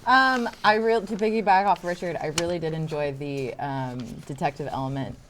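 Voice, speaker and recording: A woman's voice: on the low side, normal speed, fairly bright tone, a little hiss or hum. A woman giving casual feedback as a member of a discussion panel. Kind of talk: casual critique.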